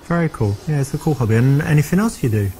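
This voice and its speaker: A man with a softened London English accent, playing a chatty, relaxed character in a scripted dating conversation. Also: fairly high pitch, fast talker, soft background music. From England. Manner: chatty, relaxed, with filler restarts. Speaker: player character in a dating game.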